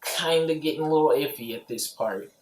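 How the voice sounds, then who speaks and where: Man, slightly high voice, casual amateur reviewer, rambling offhand delivery, echoey home room. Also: a little fast, darkish tone, slight background noise. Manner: rambling, offhand, peppered with "you know" and "like". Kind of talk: casual, informal movie review. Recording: roomy, echoey home recording.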